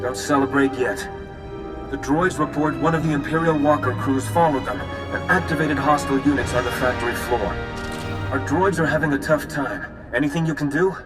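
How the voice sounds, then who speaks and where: A man acts a businesslike military ally in a game cutscene. He sounds concerned and brisk at an average male pitch. Music plays clearly behind him. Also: close to an everyday voice. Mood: urgent. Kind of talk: video game cutscene acting.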